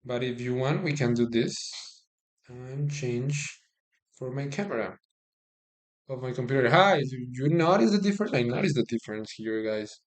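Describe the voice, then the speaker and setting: A Colombian man with a Spanish accent gives easygoing live-stream chess commentary in a medium-pitched voice. He talks in a chatty, stop-start way, repeating words as he reaches for them and turning often to thank his viewers. He is recorded on a phone. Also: normal speed.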